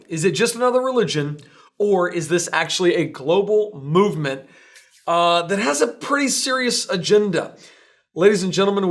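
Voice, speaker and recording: A man's voice: sits high, a bit bright. Casual, jokey male host. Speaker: show host. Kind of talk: interview hosting.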